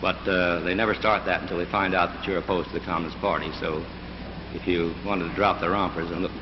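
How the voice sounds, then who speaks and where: A man's voice: deep voice, steady tone, quick pace. An American man giving blunt, sardonic, opinionated testimony as a witness at a hearing. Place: large indoor hearing room. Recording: echoey hall, dull noisy 1940s film.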